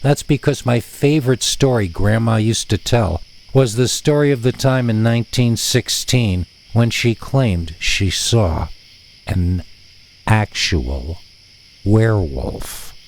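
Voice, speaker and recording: A man's voice: on the low side, slow, vocal fry, slight background noise. A man narrating a scripted tale as a storyteller.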